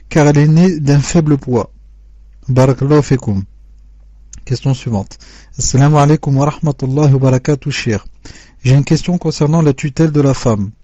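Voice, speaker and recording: A man reading out listeners' written questions in a measured, formal way, on a dull, dry lo-fi recording. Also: a little high, dark tone, no echo. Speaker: question reader.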